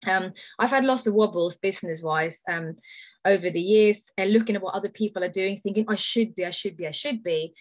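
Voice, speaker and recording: A woman's voice: medium pitch, fairly quick, no echo. A woman in her thirties from Norway, a native Norwegian speaker, giving an informal personal testimonial on a video call. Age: 30s. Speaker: product distributor giving a testimonial. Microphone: video call, computer microphone.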